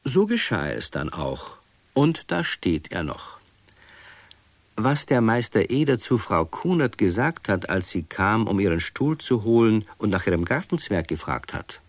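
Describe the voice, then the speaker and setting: A man narrating a children's radio play in a calm, wry storybook style. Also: lowish voice, unhurried, no room sound.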